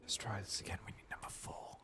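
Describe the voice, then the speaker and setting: A man giving soft-spoken, sometimes whispered ASMR game commentary, muttering casually and swearing to himself. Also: fast talker. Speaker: ASMR gaming streamer.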